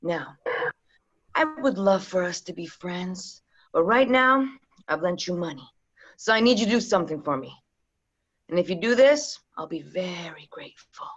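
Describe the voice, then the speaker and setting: A woman reading a calm, menacing female crime boss at a pilot table read, who speaks quietly and slips into threatening whispers. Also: on the low side, moderate pace, muffled recording.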